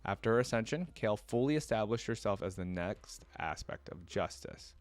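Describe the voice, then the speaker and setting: A man's voice: fairly low pitch, lively intonation, normal speed, no room sound. A man hosting a reaction video, reading trivia aloud in a casual way with short asides to himself.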